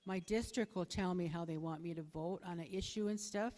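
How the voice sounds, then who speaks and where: A middle-aged woman with a soft voice giving a hesitant, plain-spoken, unscripted answer as a candidate at a public forum. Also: lowish voice, a little fast, heavy background noise. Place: bingo hall, public forum over a PA. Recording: echoey hall with long reverb.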